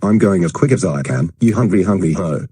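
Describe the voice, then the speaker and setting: A man's voice: deep voice, expressive, fairly quick, dark tone, husky. A man playing a surly, sarcastic, foul-mouthed husband grumbling through scripted domestic drama.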